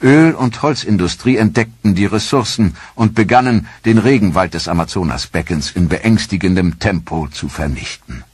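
Male documentary narrator, low husky voice, dry studio read, measured and grave. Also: a little mellow, dry recording.

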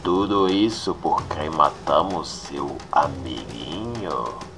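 A man's voice: rumbling low, lively intonation, takes it slowly, exaggerated voice. A man acting a gloating, theatrical masked villain ruler in a motion comic dub, mocking and menacing, with music underneath. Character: sneering, gloating villain tyrant, a masked skull-faced supremacist ruler.

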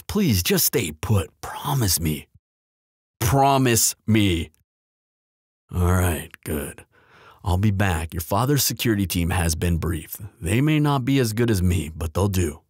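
A man acts out a protective bodyguard in an ASMR boyfriend roleplay. His voice is low and husky, with slow, firm, intimate delivery. He sounds confident and reassuring. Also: animated, dry recording, clean studio sound. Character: protective, confident male bodyguard and tender lover.

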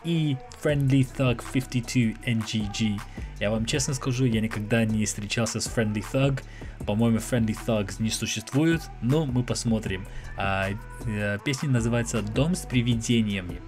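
A young man from England, a native English speaker with an English accent, giving casual reaction commentary in an animated, slangy way with English interjections. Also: on the low side. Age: young adult. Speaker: reaction video host. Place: small room at home. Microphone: desk microphone close in front, headphones on.

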